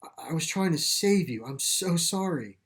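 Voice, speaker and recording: A man reading a story plays an earnest, grieving boy who loves his dog, speaking haltingly and with emotion. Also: fairly high pitch, lively intonation, fairly bright tone, voice pushed up. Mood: shocked, grieving. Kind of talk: storybook character dialogue.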